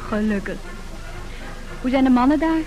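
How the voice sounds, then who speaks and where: A woman's voice: sits high, lively intonation, moderate pace, darkish tone, faint music behind, rough recording. An actress playing an earnest nineteen-year-old girl from a good family in a radio play, precise and matter-of-fact. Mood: shy, curious.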